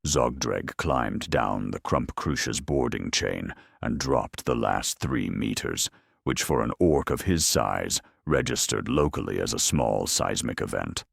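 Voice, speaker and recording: A man reading a scripted dramatic story in a measured, deadpan manner with dry humour. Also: extremely low pitch, vocal fry, breathy edge, dry recording. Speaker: narrator.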